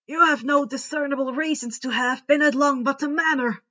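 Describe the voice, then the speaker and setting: A woman's voice: high-pitched, normal speed, pushed and bright, lo-fi sound. A woman voicing a kindly, cheerful old male wizard headmaster who gives orders emphatically in a storybook reading. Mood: firm, reassuring.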